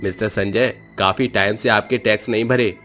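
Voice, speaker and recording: A man's voice: lowish voice, steady tone, normal speed, fairly bright tone, faint music behind, lightly acted. A man voicing a grave, formal lawyer who speaks matter-of-factly in a family story.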